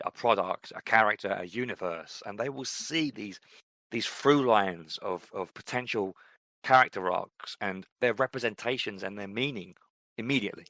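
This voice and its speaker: Male podcast co-host in his thirties; low, forceful voice, reflective and rambling. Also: no echo. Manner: reflective, rambling with many fillers and restarts. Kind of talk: casual chat.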